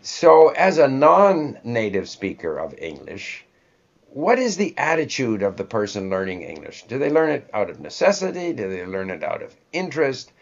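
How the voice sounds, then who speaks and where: A man's voice: medium pitch, big pitch swings, moderate pace. A man with a Canadian accent hosting a conversational interview, thinking aloud in a discursive way. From born in Sweden, raised in Canada. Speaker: host interviewing a guest. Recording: dull, lo-fi audio.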